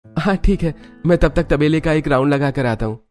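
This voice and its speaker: A man's voice: fairly high pitch, a little fast, darkish tone, close to an everyday voice. A man playing a kindly, hardworking young father in a cartoon story. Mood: mild, earnest. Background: music.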